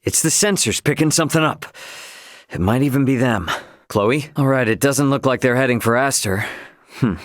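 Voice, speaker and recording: A man's voice: mid-range voice, normal speed, dry recording, clean studio sound, lightly acted. An actor playing a brash young male starship captain in a video game, with a casual, plainspoken delivery. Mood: impatient.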